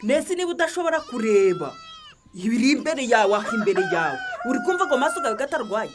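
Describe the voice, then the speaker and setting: A woman's voice: a little high, very animated, quick pace, background noise. A woman with a Rwandan accent acting an exasperated character in a radio drama, scolding and insistently reasoning with a stubborn man. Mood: exasperated, urging. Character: exasperated nagging woman urging stubborn man to seek care.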